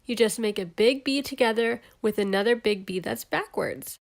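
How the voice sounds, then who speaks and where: Higher-pitched woman reading a children's storybook. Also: moderate pace. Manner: animated, clearly enunciated, spells out and explains words. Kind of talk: expressive read-aloud storytelling for young children.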